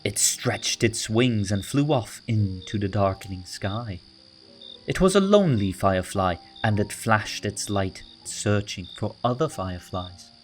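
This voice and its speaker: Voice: deep voice, animated, unhurried. A narrator reading a children's story aloud from a script, in a storybook style. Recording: music bed under the voice.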